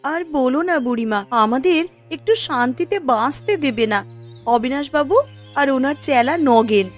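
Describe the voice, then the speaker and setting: Woman playing a high, plaintive village wife. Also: normal speed, soft background music, close to an everyday voice. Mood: aggrieved. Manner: plaintive, aggrieved. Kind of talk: Bengali cartoon story acting.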